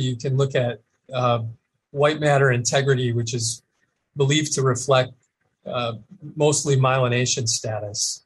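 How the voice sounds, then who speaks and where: A man on a panel explaining brain research, pausing with fillers. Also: medium pitch, breathy edge, poor audio. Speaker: neuroscientist panelist. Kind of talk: technical explanation.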